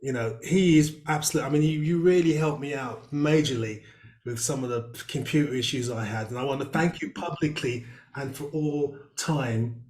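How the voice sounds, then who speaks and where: A man's voice: mid-range voice, moderate pace, darkish tone. Chatty male host on a video call, rambling delivery, room echo. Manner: chatty, rambling with many fillers. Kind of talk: casual video-call interview.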